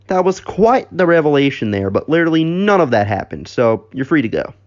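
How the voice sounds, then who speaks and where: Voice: medium pitch, big pitch swings, brisk, darkish tone, lo-fi sound, voice pushed up, natural voice. A narrator voicing a breezy, unbothered sheriff in an offhand, dismissive way for a comic story.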